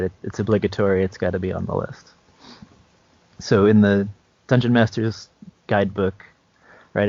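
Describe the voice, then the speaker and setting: A man co-hosting a podcast, explaining things informally and a little haltingly. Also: low-pitched, darkish tone, no echo. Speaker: podcast co-host. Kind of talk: casual podcast chat. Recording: dry, dull lo-fi recording.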